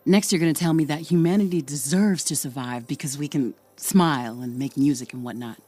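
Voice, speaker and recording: Low, husky, cynical villainess. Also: female voice, brisk, warm tone. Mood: cynical, dismissive. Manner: dismissive, lecturing. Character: cold, cynical, ruthless female philosopher villain. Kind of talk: video game voice acting.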